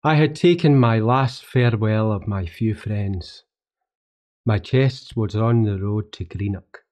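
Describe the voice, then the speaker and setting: An actor playing a wry, roguish young Scottish poet, telling his own life in literary, measured monologue for a radio play. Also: fairly low pitch, a little mellow, lightly acted. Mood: sombre, resigned. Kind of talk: first-person radio play monologue with folk songs.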